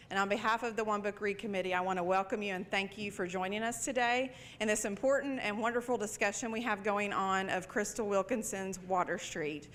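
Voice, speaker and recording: A woman's voice: medium pitch, a bit bright, a little hiss or hum. Lady opening a campus panel, friendly, lots of ums, mic in a big room. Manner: friendly, with many fillers. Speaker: library director introducing a panel. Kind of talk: welcome speech at a live event.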